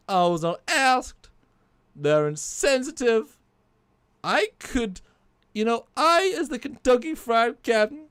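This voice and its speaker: A huffy male puppet and washed-up Shakespearean ham, as a man puts him on, talking in a fake Southern colonel drawl. He swings up and down in pitch, sits well above the performer's usual voice and drags his words out slowly. He is theatrical, insulting and full of self-pity, and the sound is dry and close. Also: a bit bright, smooth, dry recording, exaggerated voice. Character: grumpy male puppet, ex-Shakespearean actor turned chicken colonel. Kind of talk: comic puppet bit on a livestream.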